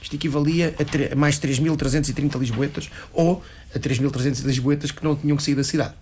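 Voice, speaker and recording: A man's voice: fairly high pitch, steady tone, darkish tone, slight background noise. A man with a European Portuguese accent, arguing as a local politician on a panel, restarting and repeating words as he pushes his point. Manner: fast, restarts often, repeats words, argues insistently.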